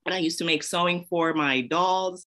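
A woman's voice: lowish voice, fairly even intonation, moderate pace, a bit bright. A woman from Zambia, a podcast guest, telling her story casually and laughing often.

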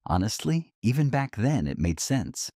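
A man's voice: mid-range voice, very animated, moderate pace, mellow, no room sound, studio quality. A man reading a scripted explainer narration in a casual, conversational tone.

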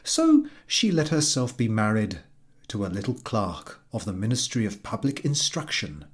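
A man narrating a literary story as an audiobook reader, measured and expressive. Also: lowish voice.

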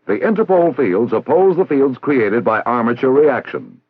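Male narrator, American, for vintage 1960s instructional film; formal and didactic, husky edge, very dry lo-fi sound. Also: medium pitch, big pitch swings, moderate pace, no room sound. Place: recorded voice-over.

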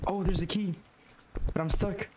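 A young man with a hoarse, raspy voice from being sick, talking through a horror game and reacting to scares. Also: sits high, fairly even intonation, moderate pace, noisy background. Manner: jumpy, swearing, talks to himself while playing. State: hoarse from illness. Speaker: gaming YouTuber. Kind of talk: horror game let's play commentary. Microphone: headset.

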